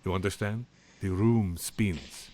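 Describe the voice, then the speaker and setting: An actor playing a worried diplomat husband in a video game, formal and hurried. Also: male voice, deep voice, steady tone, dark tone.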